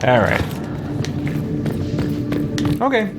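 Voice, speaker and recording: Let's play host, male, animated intonation, casual muttered thinking aloud, clear game music underneath. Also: sits high, extremely slow. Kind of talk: casual gameplay commentary. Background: game music and sound.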